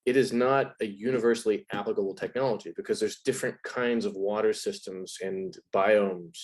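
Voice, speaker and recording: A man with a slightly low voice speaks as the expert guest in an interview. He explains things in a direct, conversational way, leaning on concrete examples. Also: fairly even intonation, moderate pace. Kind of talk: casual explanatory discussion.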